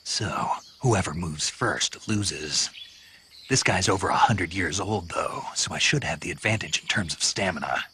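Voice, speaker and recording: Low, forceful, terse soldier. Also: male voice, animated, a little slow, a little hiss or hum, exaggerated voice. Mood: terse, confident. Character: confident, terse male soldier on a mission. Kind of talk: dramatic video game voice acting.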